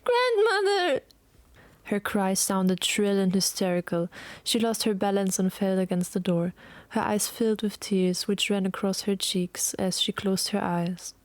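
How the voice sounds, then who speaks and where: A woman narrating a scripted dramatic story in a measured, solemn way. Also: mid-range voice, flat delivery, no room sound.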